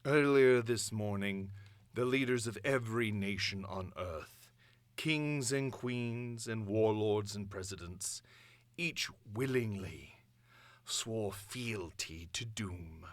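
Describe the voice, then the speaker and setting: A man performing a grandiose supervillain dictator's monologue, pompous and deliberate, addressing the world in imperious, theatrical declamation. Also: on the low side, no echo. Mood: triumphant. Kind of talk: dramatic villain monologue.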